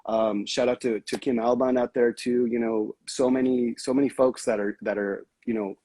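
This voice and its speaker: A man hosting a casual livestream interview, upbeat, greeting viewers by name and giving shout-outs. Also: mid-range voice, little pitch movement, moderate pace, breathy edge, dry recording. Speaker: livestream host.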